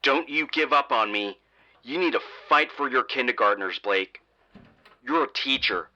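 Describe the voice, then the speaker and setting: A man doing an emergency dispatcher, bright, steady, reassuring. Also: mid-range voice, normal speed, highs cut off. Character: calm male emergency dispatcher on the phone. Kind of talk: audio drama acting.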